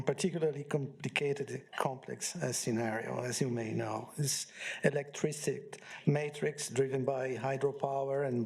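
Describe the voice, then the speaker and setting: A man from Brazil, a law professor on a conference panel, speaking in a measured way with pauses and fillers. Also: mid-range voice, vocal fry, a little mellow. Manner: measured, pausing, frequent fillers.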